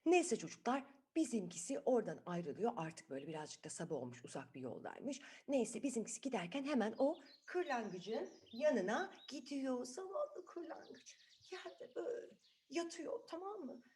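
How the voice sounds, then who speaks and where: A woman's voice: on the high side, sing-song, moderate pace, darkish tone. A woman telling a story to children in an improvised, chatty way, asking the listeners questions as she goes.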